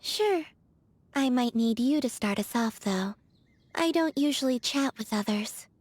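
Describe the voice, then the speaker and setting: An actress voicing a soft-spoken, aloof young woman in a game, with a breathy, whispery manner and slow, languid delivery. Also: a little high, animated. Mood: musing. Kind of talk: video game character voice lines.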